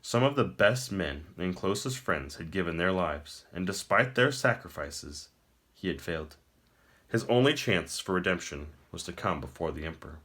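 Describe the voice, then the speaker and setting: Low-pitched guy narrating a dark fantasy book, measured dramatic storytelling, emphatic phrasing. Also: lively intonation. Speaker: audiobook-style reader.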